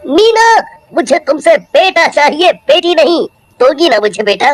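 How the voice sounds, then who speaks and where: A callous, cowardly young husband voiced by a woman in a horror cartoon. The delivery is dramatic and insistent, pushed up high over faint music. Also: lively intonation, a little slow. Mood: demanding. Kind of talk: Hindi horror cartoon voice acting.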